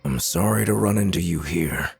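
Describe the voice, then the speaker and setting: Very gravelly, cold villain commander. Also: male voice, deep voice, moderate pace, soft background music. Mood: cold, measured. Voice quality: gravelly, husky. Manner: eerily calm, condescending. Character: cold, manipulative male resistance commander turned villain. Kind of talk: dramatized audiobook acting.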